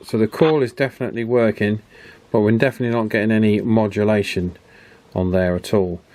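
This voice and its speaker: A man giving a casual hands-on repair demonstration as host, unhurried and thinking aloud through each step. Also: lowish voice, steady tone, noisy background. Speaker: host of a radio repair channel.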